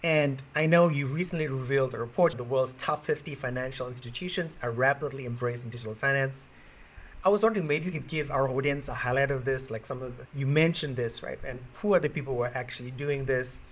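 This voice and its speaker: A man from South Africa hosts the interview and puts his questions politely, in a conversational way. His voice sits at an ordinary male pitch. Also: fast talker. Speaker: interview host.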